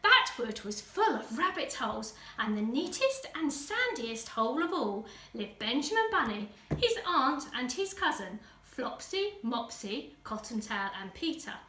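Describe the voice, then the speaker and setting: An English woman narrates a children's storybook in a bright, high voice. She reads with animated, dramatic emphasis for young listeners. The room echoes around her. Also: unhurried, few pauses. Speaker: school teaching assistant reading a story. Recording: roomy echo, distant microphone.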